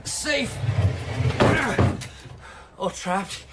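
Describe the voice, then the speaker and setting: A man's voice: drawn-out words, very noisy. An actor in a radio drama playing a fearful, unhinged religious man in hiding, muttering, repeating himself and preaching in a pleading way. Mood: frantic, anxious. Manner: muttering, repetitive, sing-song preaching between pleading and whispers.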